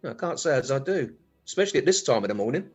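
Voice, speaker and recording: A man's voice: mid-range voice, very animated, fast, a little mellow, slightly rough, faint music behind. A man playing a grumpy, dismissive middle-aged British husband in a radio comedy play, sardonic and grumbling. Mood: irritable.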